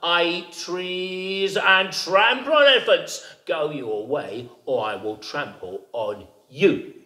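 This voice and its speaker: A hidden storybook beast that booms its threats slowly and heavily, as a male storyteller puts it on. Each word is drawn out, and the room gives it an echo. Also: animated, sharp tone, full character voice. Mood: menacing. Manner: slow, threatening proclamation. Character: huge hidden beast, menacing and booming. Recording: echoey room.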